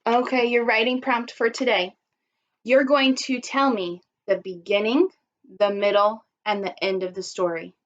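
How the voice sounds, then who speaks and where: A woman's voice: fairly high pitch, fairly even intonation, unhurried, lo-fi sound. A woman primary school teacher speaking expressively and instructively to young children in simple phrasing, as in a read-aloud lesson.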